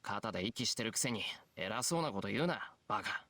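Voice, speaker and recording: Rapid-fire, defiant teenage boy. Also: fairly low pitch, lively intonation, bright, forceful tone, no room sound, clean studio sound, exaggerated voice. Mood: defiant, scornful. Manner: blunt, indignant. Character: stubborn, defiant teenage boy, hot-headed underdog. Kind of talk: Japanese visual novel voice acting.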